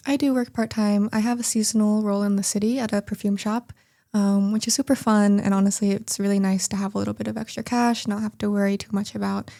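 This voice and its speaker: Mellow-voiced young woman. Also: medium pitch, gravelly. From United States. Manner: relaxed, unhurried, many fillers. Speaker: guest student. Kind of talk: casual chat.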